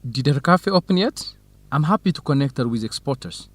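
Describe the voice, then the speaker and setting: A man playing a warm, helpful young student researcher in an educational radio drama. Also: mid-range voice, expressive, brisk, no echo. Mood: welcoming.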